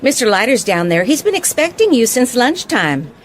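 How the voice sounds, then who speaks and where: Nosy, disapproving hotelkeeper, prim, very expressive pitch, radio play, light noise. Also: female voice, normal speed. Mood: officious welcome. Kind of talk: radio drama acting.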